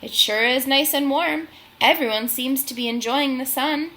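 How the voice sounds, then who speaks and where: A woman's voice: high voice, moderate pace, sharp tone. A woman voicing a fussy, stubborn old male turtle in a children's storybook. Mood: fretful.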